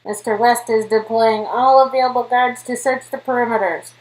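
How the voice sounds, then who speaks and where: A woman's voice: on the high side, steady tone, normal speed, poor audio. A woman reading character dialogue from an interactive game aloud, with casual reactions mixed in.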